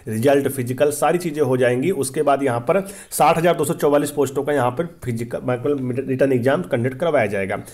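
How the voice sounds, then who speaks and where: A man's voice: fairly high pitch, darkish tone. A man hosting an explainer for students, repeating points emphatically and often checking that listeners follow. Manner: repetitive, emphatic explaining with frequent check-in tags. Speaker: host of exam-update channel.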